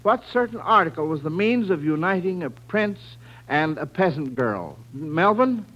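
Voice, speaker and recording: A man's voice: a little high, expressive, moderate pace, a bit bright, highs cut off. An American man hosting a 1940s radio quiz show in a folksy, encouraging way, on an old recording.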